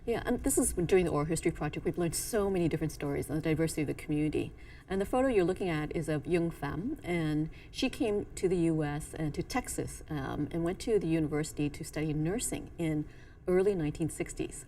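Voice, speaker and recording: A woman from Vietnam speaks as a professor guest, her voice unusually low for a woman. She answers in a measured way, often pausing on "um." The mic sounds a little far from her, with a faint hiss. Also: dark tone. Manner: measured, with frequent "um". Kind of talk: interview answers.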